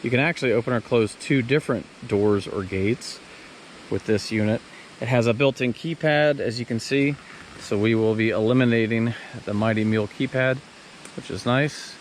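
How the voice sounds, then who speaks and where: A man's voice: mid-range voice, normal speed. A man giving a casual, plainspoken hands-on walkthrough, explaining things step by step as he reviews and installs a product. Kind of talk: casual hands-on how-to narration. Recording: clear background noise, ambient sound.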